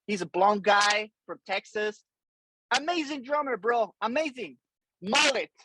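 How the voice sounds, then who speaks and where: Rambling Colombian-born man, bright tone. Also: high-pitched, expressive, unhurried, husky, no room sound, poor audio. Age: 40s. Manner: loose and rambling, many fillers and restarts. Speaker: podcast guest, drummer. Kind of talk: casual interview chat.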